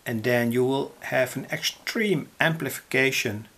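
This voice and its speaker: Slow-talking Dutch-accented man, gravelly and husky. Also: mid-range voice, sharp tone. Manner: halting, deliberate, explanatory. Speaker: electronics hobbyist presenter. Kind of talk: unscripted tutorial explanation. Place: indoors, at a table.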